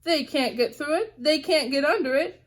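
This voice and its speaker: A woman's voice: high-pitched, normal speed, voice pushed up, natural voice. Woman voicing a stubborn, emphatic storybook gardener man. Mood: defiant, sure. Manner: emphatic, exclaiming.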